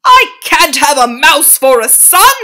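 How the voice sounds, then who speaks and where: A woman voicing a blustering middle-aged English father who shouts and stammers in fury. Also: extremely high pitch, lively intonation, takes it slowly, voice pushed up, exaggerated voice. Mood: panicked. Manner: loud, spluttering, stammering.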